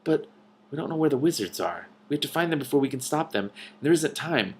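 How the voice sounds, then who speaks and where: A man's voice: a little high, lively intonation, a little fast, darkish tone, husky, pitched above the performer's own voice. A man voicing a bold young princess in a storybook, speaking in an earnest, insistent and pleading way. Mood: urgent.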